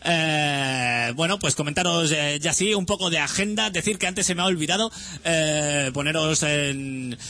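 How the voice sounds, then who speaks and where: A young man the chats on a music radio show, filling gaps with "eh" and restarting sentences. His voice sits a little high and sounds bright and forceful. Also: unhurried. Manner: hesitant, full of "eh" fillers and restarts. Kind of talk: casual rambling radio chat.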